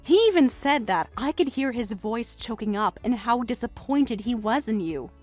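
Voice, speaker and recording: A woman's voice: fairly high pitch, expressive, moderate pace, a little mellow, soft background music. A woman voicing a mocking, self-assured jilted young woman in a melodramatic story, sarcastic and condescending. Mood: pointed. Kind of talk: melodramatic narrated story dialogue.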